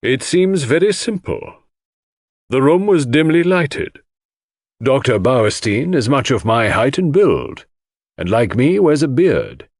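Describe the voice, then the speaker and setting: A man reads as a widowed husband under suspicion in a mystery audiobook. He is composed, stiff and self-justifying, speaking deliberately with varied pitch in a dry recording. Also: very animated, darkish tone, dry recording, full character voice.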